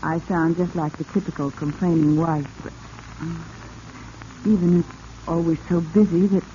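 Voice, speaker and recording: An actress playing a lonely, genteel, wistful wealthy wife with a polite, hesitant manner in a 1940s radio drama. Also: female voice, fairly low pitch, steady tone, moderate pace, mellow, lightly acted. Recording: dull, noisy vintage radio recording.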